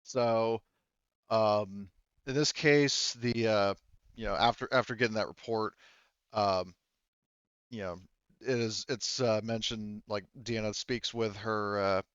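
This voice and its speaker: Smooth low-voiced man, halting game master. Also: steady tone, fairly bright tone, no room sound, highs cut off. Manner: halting, thinks aloud while narrating. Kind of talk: narrating a tabletop roleplaying game.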